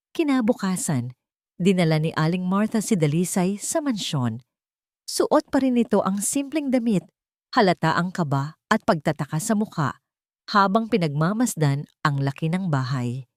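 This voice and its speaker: A woman with a Filipino accent narrates a scripted story in a measured, sentimental, dramatic way. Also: fairly low pitch, expressive, no room sound, studio quality. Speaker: narrator of a romance story channel.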